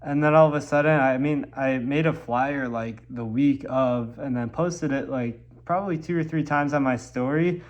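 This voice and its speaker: A man's voice: medium pitch, fairly even intonation, normal speed, few pauses, muffled recording. Congested young guy rambling in a vlog. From Milwaukee, US. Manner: rambling, lots of ums and likes. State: congested from a cold. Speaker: musician vlogger. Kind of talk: casual vlog chat.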